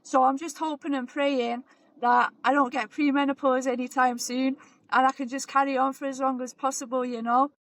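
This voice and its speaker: A woman in her forties, a boxer talking as a guest in a relaxed, chatty way and often repeating herself. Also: sits high, normal speed, poor audio. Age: 40s. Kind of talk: casual interview chat.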